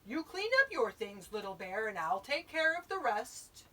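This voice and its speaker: A woman's voice: fairly high pitch, very animated, moderate pace, pushed and bright, distant mic, heavily acted. A woman voicing a patient, kindly grown-up bear in a storybook, speaking in a reassuring way. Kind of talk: storybook read-aloud.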